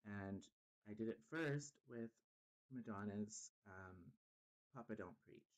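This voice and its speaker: A man's voice: fairly low pitch, slow. A man hosting his own channel, talking casually to camera while congested and a little sick, so he sounds nasal, rambling with many ums and restarts. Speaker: YouTube channel host.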